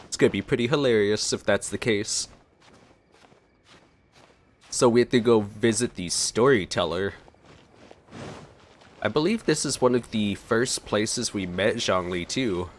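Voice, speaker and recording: A man's voice: on the low side, unhurried, long pauses. A man giving casual, conversational let's play commentary on a video game.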